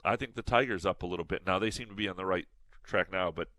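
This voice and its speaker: Lowish nasal guy rambling about sports, lots of uh and restarts, dry close mic. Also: fast talker, pushed and bright, dry recording. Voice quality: slightly nasal. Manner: rambling, many restarts and fillers. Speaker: sports podcast co-host. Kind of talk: casual sports talk.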